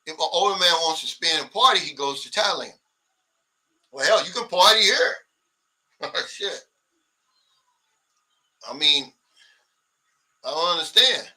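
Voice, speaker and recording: A blunt, animated man in his sixties chats on a livestream, reading out viewer comments and answering them. His voice is high and very bright, a little off the mic. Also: measured pace, pauses often, far from the mic. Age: 60s. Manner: blunt, animated, mimics others' complaints. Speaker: livestream host.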